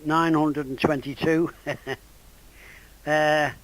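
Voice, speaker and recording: Old british guy chatting on ham radio, voice a bit on the high side. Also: level tone, drawn-out words, poor audio. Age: 70s or older. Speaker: amateur radio operator. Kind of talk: casual ham radio conversation.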